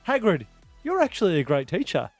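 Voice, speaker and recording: A man's voice: sits high, huge pitch swings, fairly quick. A man reading comedic fan fiction aloud in a podcast clip, with music behind him. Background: loud music.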